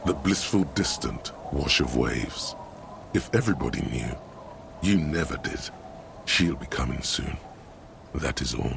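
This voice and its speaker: A man playing the brooding inner voice of a detective's mind in a game, speaking in slow, poetic lines. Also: extremely low pitch, expressive, noisy background, full character voice. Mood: brooding, hushed. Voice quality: gravelly, breathy at times. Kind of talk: dramatic game narration.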